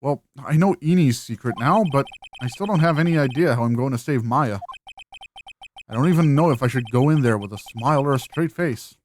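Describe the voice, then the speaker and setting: A man's voice: mid-range voice, brisk, darkish tone, slight background noise, natural voice. A man voicing an earnest, anxious young defense lawyer in a fan voice-over of a visual novel game, hesitant and questioning. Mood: worried.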